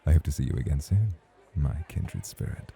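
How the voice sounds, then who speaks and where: A man's voice: very deep voice, lively intonation, moderate pace, gravelly, mellow, exaggerated voice. A man playing a suave, patient nightclub host in an ASMR roleplay, speaking in a formal, courtly, flirtatious way. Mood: courteous, teasing. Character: suave, patient male nightclub host, teasing rival charmer.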